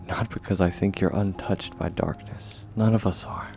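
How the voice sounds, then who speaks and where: A man voicing a kind, steadfast young warrior in a comfort ASMR roleplay, speaking gently and reassuringly in a measured, often hushed way. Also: sits low, fairly even intonation, gravelly, soft background music. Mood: soothing, earnest.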